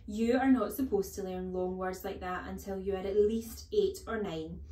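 A woman voicing a huge, menacing, tyrannical storybook headmistress, scornful and snapping, with drawn-out emphatic words. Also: medium pitch, mellow, echoey room, lightly acted.

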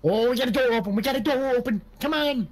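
A man playing an excitable, grumbling, bumbling Southern county sheriff in comic game roleplay, full of folksy griping. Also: very high voice, fast talker. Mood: fussing. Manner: folksy griping, mild cussing.

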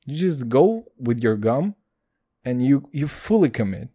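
Guy hosting a comedy podcast, foul-mouthed, keeps saying dude, mellow dark voice. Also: medium pitch, sing-song, a little slow, husky. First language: Hebrew. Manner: crude, loud swings, calls listeners dude. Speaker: podcast host. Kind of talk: comedic solo podcast rant.

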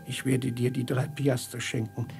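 An actor playing a fatalistic, knowing beggar sage in a 1950s radio drama, with creaky voice and measured, cryptic delivery. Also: male voice, medium pitch, little pitch movement, a little mellow, soft background music.

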